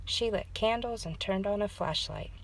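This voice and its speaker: A woman's voice: mid-range voice, moderate pace, rough recording. A woman hosting story time, reading a children's storybook aloud as narrator.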